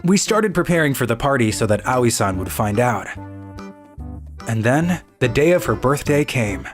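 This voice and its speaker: A man's voice: mid-range voice, sing-song, moderate pace. Earnest schoolboy narrator, acted by a man over music. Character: earnest, kind schoolboy who bakes cakes, narrating his story. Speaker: narrator and lead character of an animated story. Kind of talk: scripted narration and voiced dialogue. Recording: music behind the voice.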